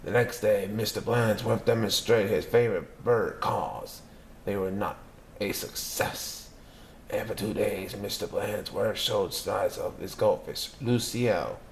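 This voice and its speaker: A man reading a children's picture book aloud in a lively, expressive storytelling manner. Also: on the low side, unhurried, a little hiss or hum. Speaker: narrator.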